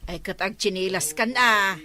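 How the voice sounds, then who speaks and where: A woman's voice: medium pitch, moderate pace, pushed and bright, close to an everyday voice. A woman with an Ilocano accent playing an exasperated, complaining village wife in a radio comedy drama. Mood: grudging.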